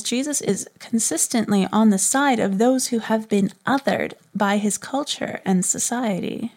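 A woman's voice: medium pitch, a little mellow. A woman narrating a scripted podcast segment as its host.

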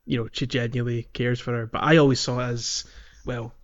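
A man's voice: medium pitch, flat delivery, fairly quick, lo-fi sound. A man hosting a podcast in casual chat, thoughtful and a little hesitant as he talks. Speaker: podcast host.

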